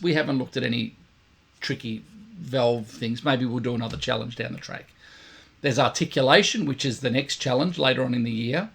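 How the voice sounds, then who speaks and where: A man, a brass teacher giving blunt, emphatic instruction to camera, his voice croaky and tired today. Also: mid-range voice. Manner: emphatic, blunt, repeats points for stress. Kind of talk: direct instructional talk to camera.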